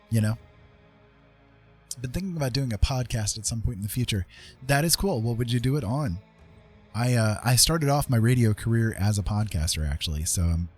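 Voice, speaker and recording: A man with a fairly low voice talks casually to a livestream audience, working through his thoughts out loud as he goes. He has an easy conversational way of explaining things, and music plays behind him. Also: slightly rough. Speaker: live streamer and digital artist.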